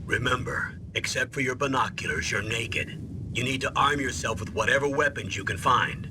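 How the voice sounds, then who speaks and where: A man voicing an older male colonel giving measured orders over the radio, in a noisy game cutscene. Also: medium pitch, natural voice. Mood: briefing. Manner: measured, commanding.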